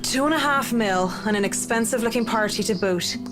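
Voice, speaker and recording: A woman's voice: fairly high pitch, a little fast, close to an everyday voice. An actress playing a brash, wry young professional woman in a visual novel. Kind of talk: video game voice acting.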